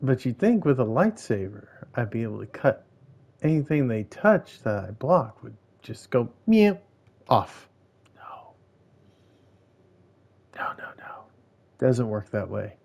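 A man hosting a casual game playthrough, musing aloud in a halting, rambling way. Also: mid-range voice, very animated, creaky, a little mellow. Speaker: gaming channel host. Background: game music.

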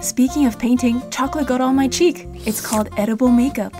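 Woman doing upbeat vlog voiceover, a bit high, music playing under it. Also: a little fast. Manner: cheerful, punny, light. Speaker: vlog host narrating. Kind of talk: scripted voice-over narration.

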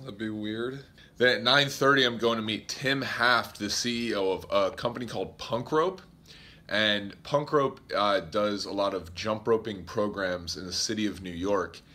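A young man talking fast and upbeat to a phone camera held at arm's length, vlogging about fitness from a hotel room and city streets. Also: fairly low pitch, bright, forceful tone. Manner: upbeat, hyped, chatty. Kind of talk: casual vlog talk to camera.

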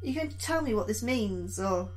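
A woman's voice: medium pitch, animated, moderate pace, soft background music, lightly acted. An actress playing an anxious, sardonic female astrobiologist in a sci-fi horror audio drama. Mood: uneasy, dry. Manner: anxious, questioning, sarcastic. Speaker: actor in audio drama, science officer.

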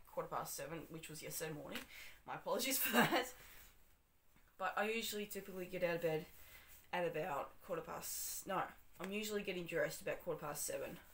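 A young Australian woman vlogging her morning at home, chatty and rambling, with a slightly croaky voice. She talks into her phone camera in a small bedroom and corrects herself often. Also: lowish voice, slight background noise. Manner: chatty, rambling, self-correcting with frequent ums. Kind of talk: casual day-in-the-life vlog. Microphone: phone camera, handheld or propped up.

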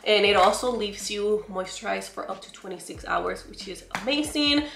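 A woman's voice: medium pitch, lively intonation, moderate pace, creaky, slight background noise. Chatty woman vlogger, echoey room. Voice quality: loud voice. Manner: chatty and emphatic, often says "girl" and "you guys". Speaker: beauty vlogger. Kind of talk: casual product haul talk to camera. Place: bedroom, indoors.

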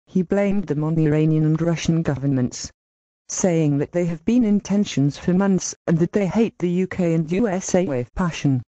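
A woman's voice: fairly low pitch, normal speed, warm tone, dry recording, lightly acted. A woman playing a sober television newsreader delivering scripted breaking news. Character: sober TV newsreader reporting an attack. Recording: slightly dull, dry recording.

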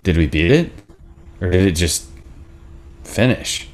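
A man acting a wry, laid-back boyfriend in his thirties, in a relationship drama. His voice sits fairly low but moves around a lot. The delivery is natural and a little defensive, over a video call. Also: lively intonation, unhurried. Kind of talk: naturalistic acted table read.

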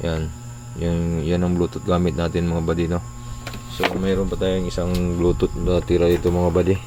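A man's voice: deep voice, completely flat delivery, normal speed, background noise. A man casually explaining a hands-on repair, informally tossing in short tag questions, like a tutorial vlogger.

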